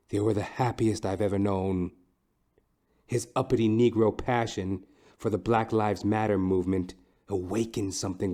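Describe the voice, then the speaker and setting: A man's voice: on the low side, darkish tone, close to an everyday voice. A man playing a bitter, haughty middle-aged wealthy wife with pompous, theatrical old-fashioned diction in a noir audio comedy. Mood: wistful.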